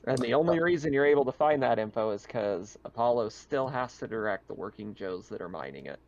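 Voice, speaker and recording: A man acting as game master of a tabletop roleplaying game, narrating casually and haltingly, thinking aloud, over a slightly muffled online voice chat. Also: medium pitch, animated, darkish tone, slight background noise.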